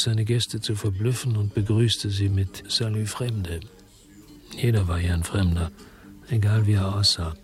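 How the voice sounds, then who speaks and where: A man's voice: on the low side, natural voice. A man playing a weary, disgusted hard-boiled investigator, narrating his own story in a brooding, terse way in a German radio drama. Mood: reflective.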